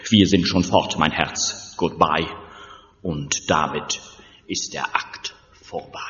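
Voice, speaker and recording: A man playing a theatrical stage actor who declaims rhymed verse in a drawn-out manner in a radio play. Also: extremely low pitch, steady tone. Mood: mock sorrow. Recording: muffled, echoey theatre hall, noisy.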